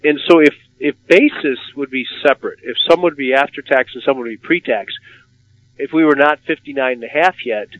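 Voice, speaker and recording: A man's voice: mid-range voice, no echo. Inquisitive male radio host, lo-fi sound. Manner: inquisitive, repeats words when starting. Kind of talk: radio finance discussion.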